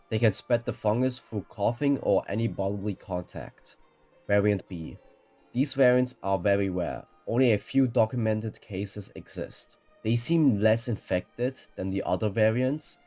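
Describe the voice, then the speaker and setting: Low-voiced man narrating over music. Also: warm tone. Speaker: narrator of a ranking video. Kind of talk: scripted explainer narration. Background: background music.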